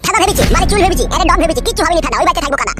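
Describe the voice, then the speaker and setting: A man's voice: extremely high pitch, expressive, racing, over loud music. A man voicing a brash, complaining, foul-mouthed young son-in-law in a comedy cartoon. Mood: whining complaint. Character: cheeky, whining, squeaky young husband and son-in-law.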